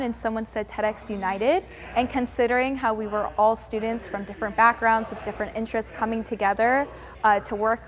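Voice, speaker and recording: A woman's voice: medium pitch, a little hiss or hum. A teenage girl on a student organizing team, explaining their ideas enthusiastically in a video interview.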